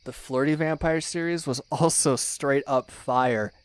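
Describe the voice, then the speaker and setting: A man's voice: mid-range voice, unhurried, clean tone, no room sound, natural voice. Man acting as a chummy, teasing young best friend. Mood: admiring, nostalgic. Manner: chummy, teasing, slangy, with hesitations and exclamations. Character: teasing, loyal young male best friend, excitable ASMR fan. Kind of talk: ASMR roleplay, one-sided casual banter with the listener.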